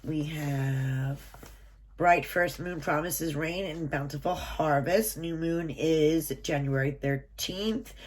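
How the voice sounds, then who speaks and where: A low-voiced woman speaks slowly through a stuffy, nasal head cold. She reads out item lists and prices with casual asides, recorded in a small room at home with a steady hiss of background noise. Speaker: subscription box reviewer. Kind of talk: casual unboxing, reading from a booklet.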